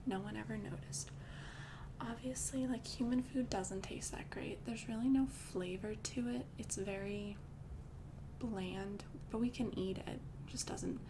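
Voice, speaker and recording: A young woman voicing a calm female vampire girlfriend in an ASMR roleplay, talking in a soft, chatty, reassuring whisper. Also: medium pitch, unhurried, noisy background, lightly acted. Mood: gentle whisper. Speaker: ASMR creator.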